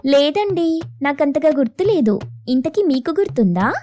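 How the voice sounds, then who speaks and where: A woman's voice: high-pitched, big pitch swings, moderate pace, dark tone, faint music behind. A woman voicing a reluctant, whiny, evasive wife sparrow in a children's cartoon. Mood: feigned innocence. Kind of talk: children's cartoon story dialogue.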